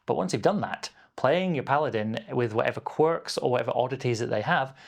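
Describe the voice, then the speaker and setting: A man's voice: mid-range voice, lively intonation, fairly quick, vocal fry. A man presenting a scripted explainer as a game master, with a playful, emphatic and encouraging delivery. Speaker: game master and video host.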